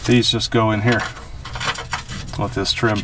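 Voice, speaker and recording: A man with a fairly low voice gives casual, hands-on commentary as he works on a car. He is informal and opinionated. The mic sits well away from him, and there is clear noise around his voice. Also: level tone, unhurried. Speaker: host of a car restoration video. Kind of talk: casual hands-on vlog commentary while working. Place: in or at a car being worked on. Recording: distant mic, noisy background.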